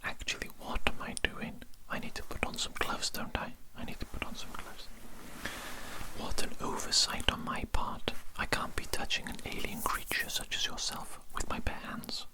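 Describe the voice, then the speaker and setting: Breathy whispery asmr roleplay voice, pompous fussy alien doctor, repeats little phrases, no real voicing. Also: poor audio. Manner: slow, repetitive, self-important, politely fussy.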